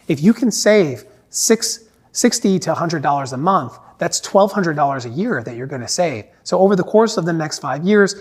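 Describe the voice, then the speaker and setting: A man hosting an explainer monologue, talking in a conversational, instructive way. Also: on the high side. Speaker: host. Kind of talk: explainer monologue giving money tips.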